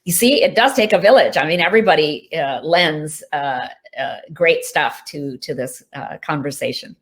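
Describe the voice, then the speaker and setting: A woman's voice: sits low, lively intonation, moderate pace. A woman whose first language is Hindi, an author chatting casually through reader questions at a virtual event, laughing easily and using lots of fillers. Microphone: video call mic.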